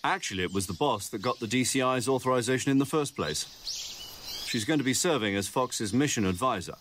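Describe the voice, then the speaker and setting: An actor playing an authoritative older British military commander, giving a measured briefing in a video game. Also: male voice, medium pitch, animated, natural voice. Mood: knowing. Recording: game audio with loud insect noise.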